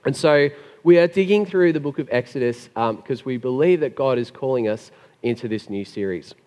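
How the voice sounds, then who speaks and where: Gravelly man preaching in a hall. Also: mid-range voice, normal speed, a little mellow. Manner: chatty, joking asides. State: hoarse after being sick. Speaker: pastor. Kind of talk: sermon. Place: church hall. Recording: long hall reverb.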